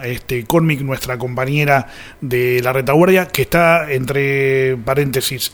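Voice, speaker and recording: A man's voice: mid-range voice, a bit bright. Argentine man hosting casual radio talk. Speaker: radio show host.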